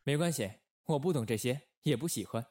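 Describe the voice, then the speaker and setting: A man playing a calm, shrewd secret-service station chief with understated authority in a radio drama. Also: mid-range voice, brisk, dry recording, studio quality, close to an everyday voice. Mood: composed.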